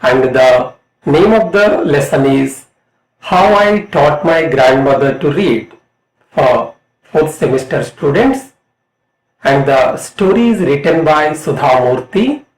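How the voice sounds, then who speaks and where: A middle-aged man with an Indian accent reading a scripted lesson as an English lecturer, in a deliberate, emphatic way. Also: a little high, expressive. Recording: roomy, echoing voice.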